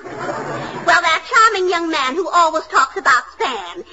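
A woman's voice: very high-pitched, expressive, a little slow, a crowd in the background. An actress playing a gushing, starstruck female fan in a 1940s radio comedy, with an effusive, admiring manner. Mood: eager. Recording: muffled, hissy 1940s radio broadcast.

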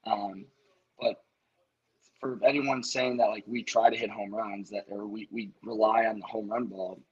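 A man's voice: fairly low pitch, little pitch movement, normal speed, slightly rough, muffled recording. A man, a college baseball coach, talking casually in an interview, rambling a little with restarts.

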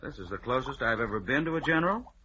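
An actor playing a jaunty, morbidly curious, betting lab assistant in an old-time radio drama. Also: male voice, medium pitch, expressive, quick pace, sharp tone. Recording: muffled, noisy old radio recording.

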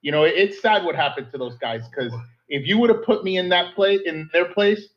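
A man in casual podcast chat, animated and joking as he talks with emphasis. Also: high-pitched, fairly quick. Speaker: podcast co-host. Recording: dull lo-fi sound.

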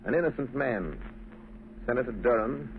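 An actor playing a calm, deliberate gentleman sleuth in a cultured, measured 1930s radio drama style. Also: medium pitch, close to an everyday voice. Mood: grave, assured. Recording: tinny, noisy 1930s radio recording.

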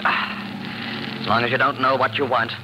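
An actor playing a brusque, domineering lover in an old-time radio drama, insistent and impatient. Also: male voice, mid-range voice, flat delivery, unhurried, lightly acted. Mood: exasperated. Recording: noisy vintage radio recording.

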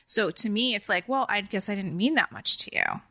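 A woman's voice: mid-range voice, lively intonation, fairly quick, sharp tone, dry recording. A young woman from New Jersey talking openly as a podcast guest, with lots of likes and confiding asides. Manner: open, filler-heavy, confiding. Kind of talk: candid casual chat.

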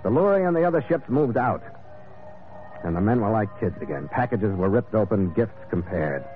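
An actor playing a hearty, wry sea skipper and reflective storyteller in an old-time radio adventure drama. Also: male voice, fairly low pitch, lively intonation, moderate pace, lightly acted. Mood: reflective, tender. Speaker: lead actor and narrator. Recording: muffled vintage radio broadcast with loud music.

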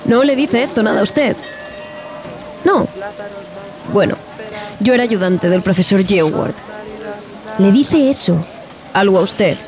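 A woman of science worn by jungle fever in an audio horror drama. She speaks at a middle pitch with an agitated, pleading manner. She is desperate to be taken away. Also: expressive, measured pace, long pauses, over music. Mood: feverish insistence.

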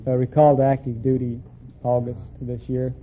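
A man's voice: medium pitch, level tone, a little fast. A man in his twenties from West Virginia with an American accent, giving plain, polite contestant answers on an old radio recording. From West Virginia, United States. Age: 20s. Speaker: sailor, quiz show contestant. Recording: tinny, noisy old radio broadcast.

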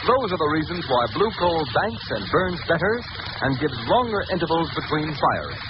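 A man's voice: fairly high pitch, very animated, normal speed. A man announcing a scripted old-time radio advertisement in an emphatic, polished, formal manner. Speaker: announcer, sponsor pitchman. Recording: noisy, muffled vintage radio recording.

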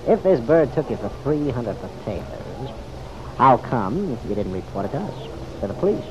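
Wry radio cop, played by an actor, lively intonation, noisy recording. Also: male voice, mid-range voice, natural voice. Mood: pressing. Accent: American. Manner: slangy, unhurried, needling. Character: wry, persistent, easygoing male police detective. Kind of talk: old-time radio drama acting.

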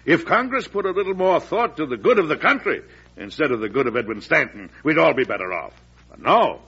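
Husky, emphatic president, restless and indignant, for vintage radio drama; muffled recording. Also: male voice, a little high, big pitch swings, a little fast, a bit bright, heavily acted. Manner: emphatic, oratorical. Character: embattled male US president, defiant, restless and indignant. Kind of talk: 1940s radio drama acting.

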